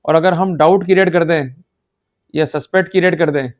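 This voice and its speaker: High-pitched Indian man lecturing. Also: steady tone, moderate pace. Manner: didactic, emphatic, checks understanding with repeated short questions. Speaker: law lecturer. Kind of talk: explanatory lecture in Hindi mixed with English legal terms.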